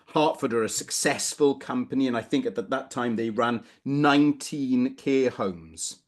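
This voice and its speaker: A middle-aged man from Wales giving a conversational legal lecture over a video call, informal with bursts of emphasis. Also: mid-range voice. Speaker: barrister presenting a legal webinar. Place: small room indoors, video call.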